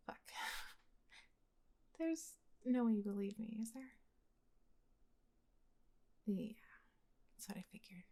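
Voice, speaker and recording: Casting: young woman, voice actress playing a blunt heartbreaker best friend, mid-pitched, casual and expressive, intimate audio roleplay. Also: breathy edge, full character voice. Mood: flustered, confessing. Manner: casual, expressive, sighing, sweary. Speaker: voice actor in ASMR roleplay. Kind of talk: intimate conversational ASMR roleplay acting.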